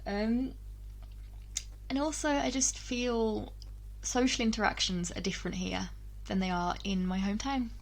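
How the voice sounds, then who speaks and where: A young woman talks casually to her camera, pausing on ums and doubling back on her words. Her voice sits at a middling pitch, and her talk is relaxed and personal. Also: poor audio. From England, UK. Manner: chatty, frequent ums and restarts, laughs between thoughts. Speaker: vlogger.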